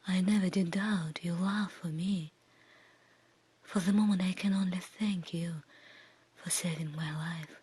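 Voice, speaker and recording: A woman voicing a humble, earnest merchant father from a fairy tale in a breathy whisper. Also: fairly low pitch, fairly even intonation, a little slow, pauses often, a little mellow. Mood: grateful. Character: frightened merchant father, pleading and grateful. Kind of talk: whispered ASMR storybook reading.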